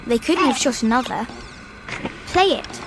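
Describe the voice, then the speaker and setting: A woman's voice: fairly high pitch, animated, unhurried, noisy background. A woman playing a cool, accusing twelve-year-old girl, blunt and insistent. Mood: matter-of-fact.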